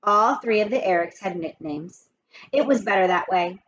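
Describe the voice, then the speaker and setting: A woman teacher reading a chapter aloud to children, expressive and talking to the kids directly between passages. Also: mid-range voice, normal speed, lo-fi sound. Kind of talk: children's read-aloud with asides.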